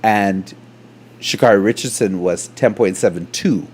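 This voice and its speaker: A man's voice: on the low side, measured pace, slightly rough. A man from Canada co-hosting a casual podcast, telling stories with laughter and acting out the quotes. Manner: animated, laughing storyteller who acts out quotes. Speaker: podcast co-host. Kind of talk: casual chat.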